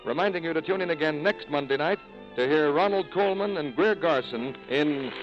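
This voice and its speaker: A man's voice: a little high, moderate pace, dull audio. A man as a 1940s radio announcer, reading scripted credits in a formal, polished way on a vintage recording. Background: music.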